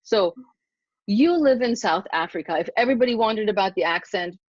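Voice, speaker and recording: A middle-aged woman from Germany hosting a podcast interview, excited and effusive as she introduces her guest. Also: mid-range voice, a little fast, no echo. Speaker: podcast host. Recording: dull dry lo-fi sound.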